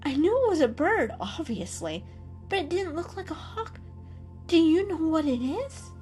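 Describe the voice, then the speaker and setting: A woman acts out an eager young female cat from a storybook. She is curious and wide-eyed, pitched high and swooping in lively exclamations, with music playing behind her. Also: normal speed. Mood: excited, startled.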